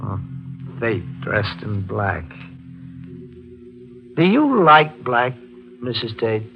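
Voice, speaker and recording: An actor playing a probing, matter-of-fact district attorney in a 1940s radio mystery, with clipped, businesslike questioning. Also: male voice, medium pitch, big pitch swings, drawn-out words, gravelly, highs cut off, heavily acted. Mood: pressing, suspicious. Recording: band-limited 1940s radio recording with organ music.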